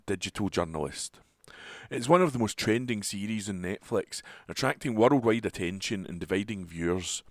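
A man's voice: on the low side, no room sound, clean studio sound. A man volunteer reader reading a newspaper article aloud for listeners.

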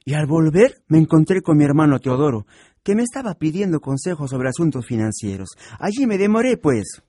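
A man's voice: a little high, sing-song, brisk, dark tone, dry recording, clean studio sound. An actor with a Peruvian accent playing a contented, hardworking middle-aged father who runs a family shop in a radio soap. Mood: earnest. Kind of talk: educational radio soap acting.

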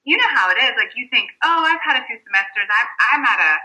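A woman's voice: a little high, lively intonation, fast, sharp tone, poor audio. An American woman talking as an interview guest in a casual, reflective way, often restarting her sentences. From United States.

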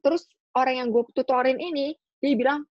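A young woman, a guest in a casual podcast chat, telling her stories in an animated, complaining way. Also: sits high, brisk, dry recording. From Indonesia. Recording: dull dry recording.

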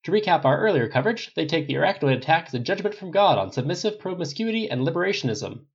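A man voicing a brisk, upbeat female TV news reporter with an emphatic announcer delivery, reporting live. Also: on the high side, big pitch swings, slightly rough, lo-fi sound, voice pushed up, exaggerated voice. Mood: upbeat, formal.

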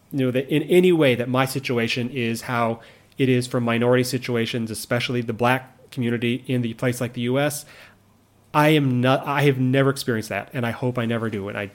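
Earnest man, reflective monologue. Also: mid-range voice. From United States. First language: English. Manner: earnest, measured, with frequent restarts and asides. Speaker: vlogger living abroad.

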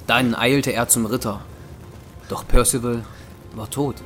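A man's voice: mid-range voice, steady tone, unhurried, fairly bright tone, faint music behind. A man narrating a German audio drama in a scripted, dramatic storytelling style.

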